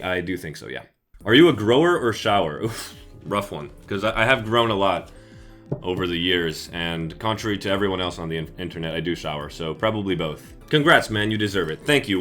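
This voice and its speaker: A young man answering viewer questions in a casual vlog, joking and deadpan about himself. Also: medium pitch. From United States. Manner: jokey, self-aggrandizing deadpan. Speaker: YouTuber.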